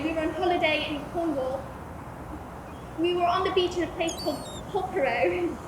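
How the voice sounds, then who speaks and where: Literal-minded teen boy, woman in the part, flat and exact, background noise behind. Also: very high-pitched. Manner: flat, exact, stammers when pressed. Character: literal-minded teenage boy, blunt and precise. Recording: echoey room with background noise.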